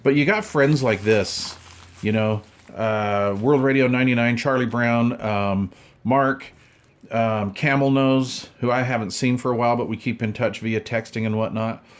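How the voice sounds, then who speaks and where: A man in his fifties with a mid-pitched voice talks like a hobbyist showing things to friends. His delivery is casual and folksy. He rambles along in loose, informal slang. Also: steady tone, measured pace. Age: 50s. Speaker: radio hobbyist vlogger. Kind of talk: casual unboxing chat.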